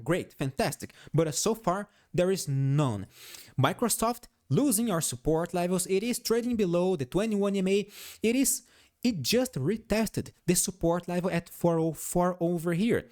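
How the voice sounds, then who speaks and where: Animated high-pitched man with non-native accent. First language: not English. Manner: emphatic, repeats phrases, frequent "all right?" check-ins. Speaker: stock market analyst. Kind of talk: technical analysis commentary over charts.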